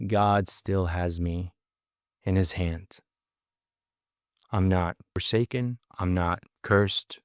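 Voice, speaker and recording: A man's voice: low-pitched, steady tone, takes it slowly, long pauses, clean tone, no room sound, clean studio sound. A man giving an earnest personal testimony in a monologue, repeating phrases and drawing out key words for emphasis. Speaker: storyteller recounting his life.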